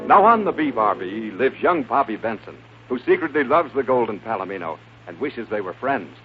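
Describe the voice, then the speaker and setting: Animated American man, old-time radio narrator. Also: medium pitch, moderate pace. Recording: tinny vintage radio, music behind.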